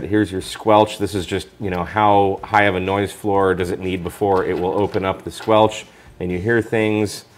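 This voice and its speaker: A man talking informally through a product review, explaining things step by step in a casual way. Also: low-pitched.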